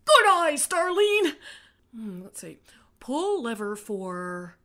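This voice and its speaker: A woman plays a bossy girl sleuth in a comic radio play. She is excitable, with a highish, bright voice that swoops up and down. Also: slow, exaggerated voice. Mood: eager.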